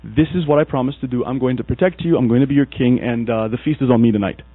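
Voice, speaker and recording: A man from Egypt preaches and tells stories, acting out the people in them. His voice is a little husky at a middling pitch. It comes through a tinny, dry, phone-like recording with a mains hum. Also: quick pace, dry recording. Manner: storytelling, animated, acts out quoted characters. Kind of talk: sermon.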